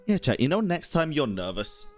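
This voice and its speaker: A man's voice: a little high, sing-song, normal speed. A young man doing casual, joking game stream commentary, slangy and sweary. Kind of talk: casual live reaction commentary. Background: game music.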